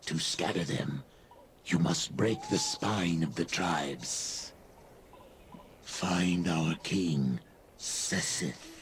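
An actor playing a grave, primal lizard-man of the marsh in a video game, speaking solemnly in halting broken English with breathy pauses. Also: a bass voice, slight background noise, exaggerated voice. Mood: menacing, urging. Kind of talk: video game character dialogue. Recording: game dialogue over music. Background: music.

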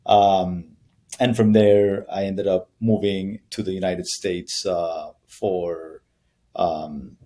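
A man in his thirties from Colombia talks over a video call about his background. His voice sits low and sounds soft and mellow, and he speaks thoughtfully, with frequent ums and restarts. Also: fairly even intonation, slow. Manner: thoughtful, many fillers and restarts. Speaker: guest, brand founder. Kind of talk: conversational interview answers. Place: small room with bare wall.

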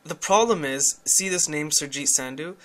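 A man's voice: fairly high pitch, normal speed, heavy background noise. A young man giving a casual, candid demonstration of a phone app, explaining things as he goes. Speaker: tech reviewer.

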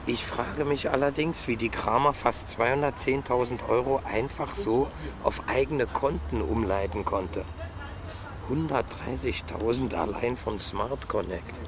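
A man plays a police detective in a radio play, mid-pitched and colloquial. He is chummy but sardonic, talking over clear city traffic noise. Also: unhurried, natural voice. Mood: skeptical, sardonic. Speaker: actor in a radio crime drama. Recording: loud urban street noise.

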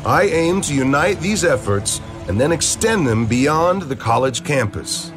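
A man's voice: a little high, sing-song, a little slow. A man playing an earnest, determined middle-aged senator in a declamatory, emphatic, scripted comic-book dramatization. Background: music.

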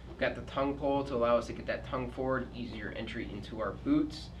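A middle-aged man walks through a product's features in an even, explanatory way, dotted with ums and uhs. He sits back from the microphone in a ski shop, so the voice is a little distant. A clear, steady room hum runs underneath the whole time. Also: mid-range voice, fast. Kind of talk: unscripted product demonstration. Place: ski shop with boots and skis on the walls, indoors.

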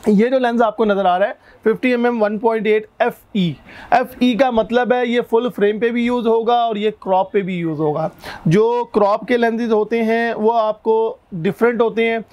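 A man in his thirties with a hoarse throat, explaining camera specs and prices one by one in a shop, recorded on a lapel mic. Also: very high-pitched, moderate pace, lo-fi sound. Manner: steady explanatory, lists features one by one.

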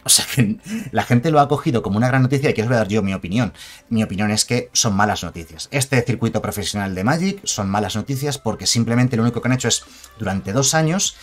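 A man in his thirties, a channel host from Spain, giving casual game news to camera on a headset at home, slowing down to read card names and often checking in with his viewers. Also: fairly low pitch. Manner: chatty, reads card names slowly, asks for agreement with ¿vale?. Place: small room at home.

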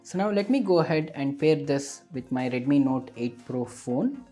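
A man's voice: fairly high pitch, animated, normal speed, warm tone, soft background music. A man narrating a scripted product review as a tech reviewer.

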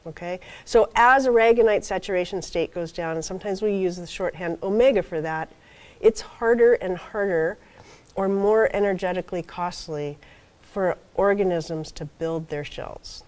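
Soft-spoken older woman lecturing. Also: fairly low pitch, normal speed. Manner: soft-spoken, many ums and okays. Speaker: guest lecturer. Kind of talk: university seminar talk. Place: lecture hall.

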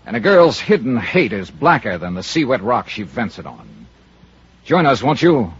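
An American actor playing a sardonic investigator in a 1950s radio drama, with wry, clipped noir delivery. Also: male voice, medium pitch, big pitch swings, moderate pace, breathy edge. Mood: teasing. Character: sardonic, cool insurance investigator in his prime. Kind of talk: 1950s radio detective acting and narration. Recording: dull vintage radio recording, music behind.

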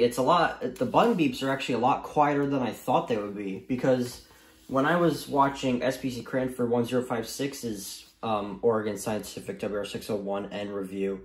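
A man giving a casual, unscripted review in a halting, repetitive and emphatic way, recorded in a room at home. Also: mid-range voice. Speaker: vlogger reviewing gadgets. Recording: roomy, echoey home recording.

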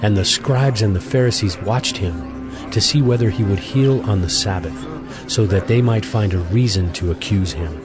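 Lower-voiced male Bible narrator over music. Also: moderate pace. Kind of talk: scripted scripture narration for a dramatized audio Bible.

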